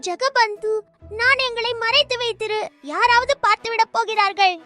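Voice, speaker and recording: A woman's voice: extremely high pitch, moderate pace, bright, forceful tone, faint music behind. A woman voicing a pompous boy who poses as a rich landlord in a children's cartoon, grand and demanding. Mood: fussy. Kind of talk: animated children's cartoon dub.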